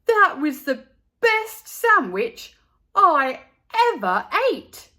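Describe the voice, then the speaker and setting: A woman plays an eager, impatient storybook schoolboy. The voice is bright and raised in pitch, with emphatic, blurting delivery. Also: very animated, extremely slow, full character voice. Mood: delighted. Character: impatient, curious young schoolboy, eager eater. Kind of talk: storybook character voice.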